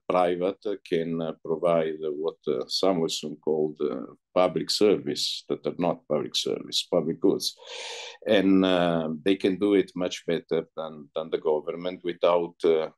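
Halting deep-voiced man on a video call. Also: darkish tone. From Italy. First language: Italian. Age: middle-aged. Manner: halting, many filler pauses. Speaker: interview guest, entrepreneur. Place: indoor room, light walls. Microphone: video call laptop mic.

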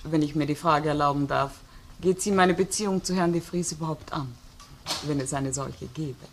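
A woman's voice: deep voice, normal speed, a little hiss or hum. A woman playing a well-bred lady under police questioning in a crime drama, formal and defensive. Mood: defensive, indignant. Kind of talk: crime radio play acting.

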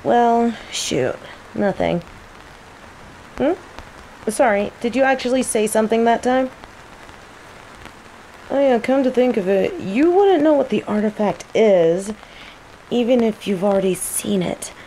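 A woman's voice: on the high side, measured pace, pauses often, low-quality recording. A woman playing a teasing rival mage who interrogates a captive with casual, coaxing menace in an audio roleplay. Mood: casual, searching. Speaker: voice actor in scripted audio roleplay. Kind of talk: intimate scripted audio roleplay acting. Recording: steady rain noise behind the voice. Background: rain.

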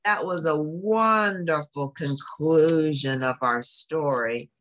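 A woman's voice: deep voice, slow, fairly bright tone. A woman reading a picture book aloud to young children, explaining it in simple phrases. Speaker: children's story reader.

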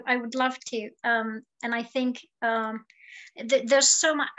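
A woman's voice: fairly high pitch, a bit bright. A woman from Greece who helps run a reading group, talking fluently and with enthusiasm in a casual discussion.

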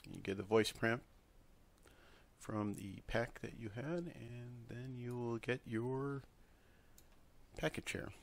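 A man presenting a casual software tutorial, talking informally through each step as he works at a computer. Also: mid-range voice, slow pace, pauses often, slight background noise. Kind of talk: casual screen-recorded tutorial.

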